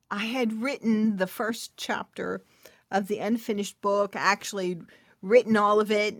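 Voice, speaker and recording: A woman shares a spiritual testimony in a soft, intimate storytelling manner. She speaks slowly at a middle pitch, and her voice sounds dry and close, with no room echo. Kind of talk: testimony sermon.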